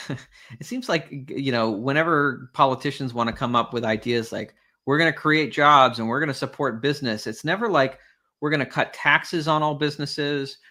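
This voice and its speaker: Man, podcast host, bright tense voice, animated rambling talk. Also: mid-range voice. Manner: animated, rambling, many fillers. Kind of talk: conversational interview.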